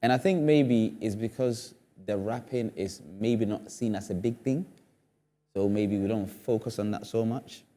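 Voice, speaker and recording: A man's voice: on the low side, a little slow, warm tone. A man speaking as a studio guest on a discussion show, earnest and emphatic, often repeating phrases for effect. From Ghana. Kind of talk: panel discussion.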